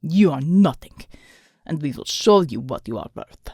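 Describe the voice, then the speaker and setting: A man's voice: on the high side, big pitch swings, creaky, warm tone, breathy edge, dry recording, studio quality, pitched below the performer's own voice, full character voice. A woman growling as a snarling male thug. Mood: menacing sneer. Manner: snarling, slow and menacing. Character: cruel sneering male thug leader.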